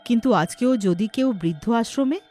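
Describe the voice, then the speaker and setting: উঁচু গলার নারী গল্পকথক. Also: female voice, a little high, lively intonation, moderate pace, warm tone, soft background music. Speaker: storyteller. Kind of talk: scripted narration of horror story.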